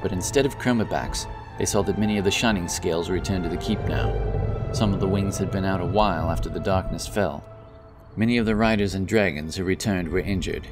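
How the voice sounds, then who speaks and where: Casting: deep male storyteller, solemn and dramatic, for scripted fantasy narration over strong music. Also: a little fast.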